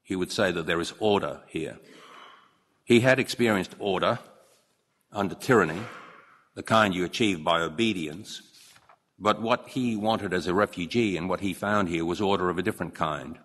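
A man gives an earnest, measured ceremonial speech. His voice sits very low. It rings with the long reverb of a large courtroom, caught on a podium microphone. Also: fairly bright tone. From Hong Kong. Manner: measured and earnest, with occasional slips and restarts. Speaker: newly appointed judge giving a speech.

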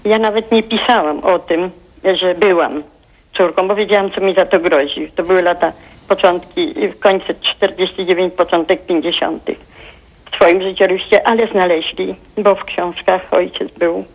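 A woman in her eighties from Poland calls in to a TV talk show, speaking in a heartfelt, halting way and often searching for words. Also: fairly low pitch, steady tone. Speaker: caller. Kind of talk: phone-in call to a TV talk show. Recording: dull phone line.

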